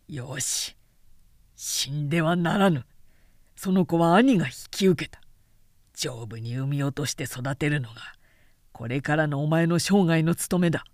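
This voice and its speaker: A resolute samurai elder brother , played by a woman narrator, in a period storybook. He is stern and blunt, giving orders in a lowered voice. The recording is dry. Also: a little slow, a little mellow, dry recording. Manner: scolding, blunt, commanding.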